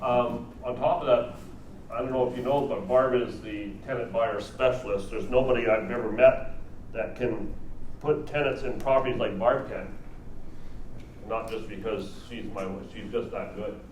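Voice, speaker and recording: A man's voice: mid-range voice, fairly even intonation, moderate pace, darkish tone. A man giving an informal seminar as a trainer, conversational and putting rhetorical questions to his audience. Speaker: seminar trainer teaching negotiation and NLP. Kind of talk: live seminar presentation, informal coaching. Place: live seminar room with an audience. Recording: roomy, distant mic, faint noise.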